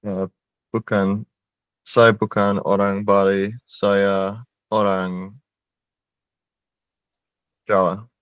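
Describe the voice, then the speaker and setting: Young man student on headset, low monotone, slow, muffled dry call audio. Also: clean tone, no room sound. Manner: slow, careful learner repeating phrases. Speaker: language student. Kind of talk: online language lesson. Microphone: headset on video call.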